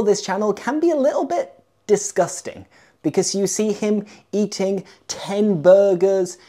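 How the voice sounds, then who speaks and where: A man's voice: high-pitched, dark tone. A young man from Leeds, England, working as an English teacher and talking chattily to camera in a YouTube lesson, stressing many words.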